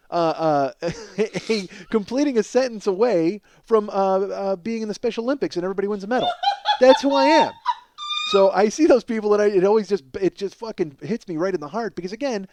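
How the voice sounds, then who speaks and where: Higher-pitched American man, rambling comedy podcaster. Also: a little mellow, dry recording. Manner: self-deprecating, profane. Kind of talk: casual, rambling comedic monologue.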